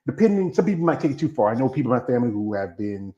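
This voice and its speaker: A man's voice: mid-range voice, very animated, rapid-fire, dark tone, muffled recording. A man from the American South with a Southern accent, hosting a casual interview in a loose, joking way and repeating himself. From United States. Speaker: interview host.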